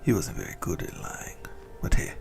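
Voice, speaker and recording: A man voicing an obsessive, doting vampire in an intimate ASMR roleplay, with a breathy whisper and theatrical, adoring relish. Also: moderate pace, a little mellow, soft background music, lightly acted. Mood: wistful, teasing. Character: obsessive lovesick male yandere vampire, doting, gleefully indulgent.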